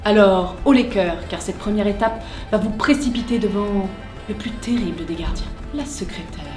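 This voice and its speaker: A female presenter gives scripted, playful instruction. Her voice sits at an average pitch, and she is direct, conversational and wry. The room echo is noticeable, with light music underneath. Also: moderate pace. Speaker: presenter of job-search tutorial.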